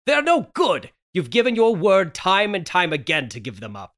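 A man's voice: high-pitched, huge pitch swings, normal speed, fairly bright tone, exaggerated voice. A man playing a wronged, desperate husband in a theatrical 1930s radio melodrama reading, overwrought and stammering. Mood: angry. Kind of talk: 1930s radio horror melodrama table read.

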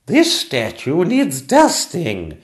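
A man voicing a cross, haughty Queen in a comic storybook tale, speaking in slow, emphatic pronouncements. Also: a little high, huge pitch swings, pitched above the performer's own voice, full character voice. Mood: imperious.

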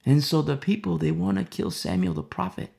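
A man preaching through a Bible passage with a gravelly, fry-edged voice. He talks in a rhetorical way, keeps asking his listeners "you see?", and sometimes sinks into quiet, hushed asides. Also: a little high, sing-song, fairly quick. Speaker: Bible teacher. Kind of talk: verse-by-verse sermon teaching.

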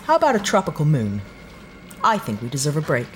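Woman playing a low-voiced, easygoing spacefarer. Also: wildly swooping pitch, fairly quick, a little hiss or hum. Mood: relaxed, concerned. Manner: casual, gently coaxing. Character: easygoing, caring female space-crew companion. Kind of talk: naturalistic sci-fi audio drama dialogue.

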